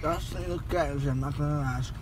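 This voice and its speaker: A man's voice: fairly high pitch, fairly even intonation, quick pace. A young man in his twenties talking casually and sarcastically from the driver's seat of a car, swearing freely, recorded on a phone held by his passenger. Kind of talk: casual chat. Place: inside a car in a parking garage. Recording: car and traffic noise.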